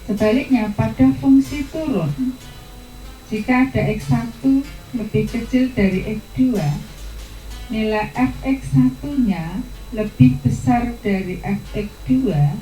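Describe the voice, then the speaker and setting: A woman's voice: mid-range voice, takes it slowly, mellow, reverberant, soft background music, rough recording. A woman explaining a math lesson to students step by step, in a patient teaching manner.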